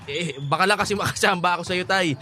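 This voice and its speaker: Young son, radio drama: good-natured whiner, pleading, fairly high voice over clear music. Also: male voice, fast talker, pushed and bright. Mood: whiny, pleading. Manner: pleading, playfully complaining. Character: good-natured, whiny young son who idolizes his father. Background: background music.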